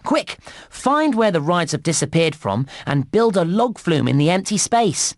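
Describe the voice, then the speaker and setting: A cheery, eager theme park guide for a children's game, with a highish male voice that swoops up and down. He gives encouraging, animated instructions in a scripted narration style, recorded close and dry. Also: no room sound. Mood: alarmed. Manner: animated, clearly enunciated instructions, exclamatory.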